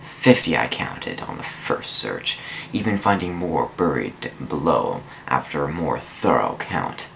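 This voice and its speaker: A man's voice: very deep voice, very animated, a little slow, creaky, a bit bright, a little hiss or hum, low-quality recording. A man giving a theatrical dramatic reading of a first-person story, drawing out words for emphasis. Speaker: amateur dramatic reader of online fan fiction.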